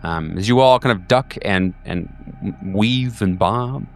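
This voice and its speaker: A man with a fairly low, resonant voice runs a tabletop horror game. He narrates in pieces, pausing between phrases. Music plays softly behind him. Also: gravelly. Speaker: game master and host. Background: background music.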